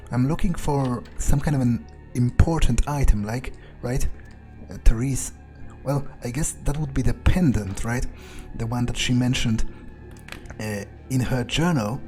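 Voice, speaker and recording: A man plays through a game in character as a cynical, foul-mouthed vampire. He muses aloud slowly and hesitantly, with dry sarcasm. His voice is mellow and relaxed, over faint music. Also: mid-range voice. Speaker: let's player speaking in character. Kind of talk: in-character gaming commentary, thinking aloud.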